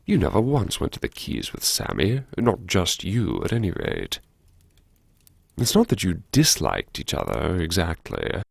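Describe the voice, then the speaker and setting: A man playing a brooding, wry academic who talks to himself in an intimate, sardonic audiobook monologue full of regret, with a gravelly voice. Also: sits low, huge pitch swings, no room sound, studio quality, full character voice. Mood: self-reproachful, musing. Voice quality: gravelly, creaky. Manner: sardonic, musing, self-reproachful, with sighs and pauses. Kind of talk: first-person audiobook monologue, intimate dramatic narration.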